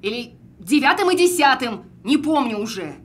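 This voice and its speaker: Audio drama: demanding woman stranded in an elevator, high, very animated, impatient. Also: moderate pace. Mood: anxious, calling out. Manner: demanding, exasperated. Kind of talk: audio film acting.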